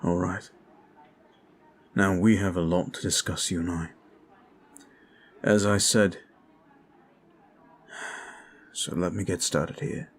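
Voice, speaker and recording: Slow, deep-voiced courteous vampire played by a man. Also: fairly even intonation, slight background noise. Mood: calm, courteous. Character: calm, courteous young vampire man, protective and apologetic. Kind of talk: ASMR roleplay audio drama.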